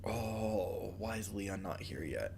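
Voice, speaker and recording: A man with a stuffy, congested voice from a cold, chatting casually on a gaming stream, halting and apologetic with many ums. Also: low-pitched, animated, creaky, darkish tone, a little hiss or hum.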